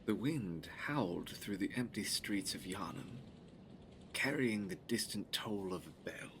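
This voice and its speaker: A man narrating a gothic audio drama from a script in a solemn, measured, dramatic way. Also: on the low side, background noise.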